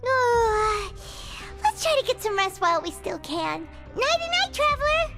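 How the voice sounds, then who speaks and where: A woman's voice: very high voice, sing-song, measured pace, full character voice. A woman voicing a tiny, chatty fairy companion girl who talks about herself in the third person, with game music behind. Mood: excitable. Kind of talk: game voice acting.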